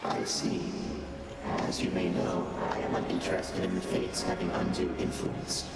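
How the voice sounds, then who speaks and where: An actor voicing a vast, ancient primordial deity in a video game, with an otherworldly layered voice and a slow, formal, commanding manner. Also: low voice, completely flat delivery, heavy background noise. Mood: cold, imperious. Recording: echoing voice under loud game music.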